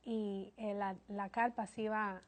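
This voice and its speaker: A woman, telling her testimony as a guest in an earnest, steady way. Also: medium pitch, measured pace. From Colombia.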